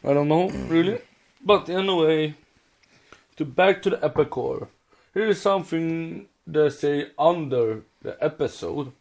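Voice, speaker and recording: A man with a Swedish accent, a native Swedish speaker, co-hosting a casual fan podcast in halting, loosely worded English. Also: fairly high pitch, darkish tone. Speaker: podcast co-host.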